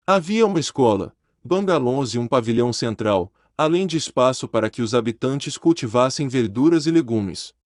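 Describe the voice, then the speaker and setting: A man narrates in a steady, serious manner. His pitch sits in the middle range for a man. The sound is dry and close, with no room around it. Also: no echo. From Brazil. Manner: measured, serious and grave. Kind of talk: scripted documentary narration.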